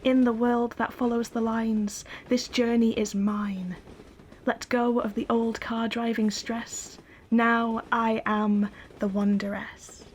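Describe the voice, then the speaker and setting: A woman performs spoken-word poetry in a slightly high voice. Her reading stays intimate and quite flat in melody, sometimes dropping to a hush. There is a faint noise in the background. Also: slow.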